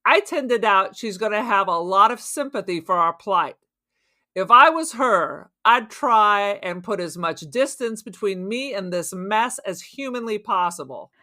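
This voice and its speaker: A woman's voice: mid-range voice, lively intonation, unhurried, pushed and bright. A woman playing a suspicious, cynical robber on the run in an audio drama, arguing in sarcastic, streetwise slang. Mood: nervous, pragmatic. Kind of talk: audio drama crime acting.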